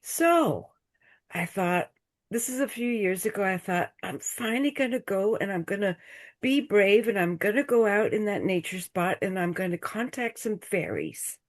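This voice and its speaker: An older woman from the United States, a guest on a video call, speaking slowly and searching for her words. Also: mid-range voice, animated. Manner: slow, thoughtful, searching for words, drops to a whisper. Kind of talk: casual chat on a group video call.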